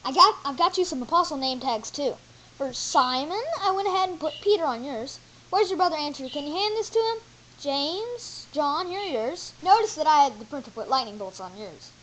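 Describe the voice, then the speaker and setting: A woman's voice: high-pitched, animated, moderate pace, a bit bright, slight background noise, poor audio. A woman playing Jesus as a friendly teacher in an amateur Bible audio drama, reciting scripture with chatty asides. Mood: chatty, playful. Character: Jesus, a male teacher, friendly and jokey with disciples.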